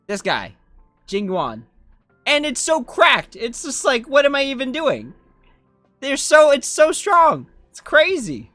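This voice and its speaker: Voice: high-pitched, huge pitch swings, slow, sharp tone, dry recording, faint music behind. A game streamer giving casual live commentary in an informal, self-questioning way. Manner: informal, self-questioning, often ends with "right?".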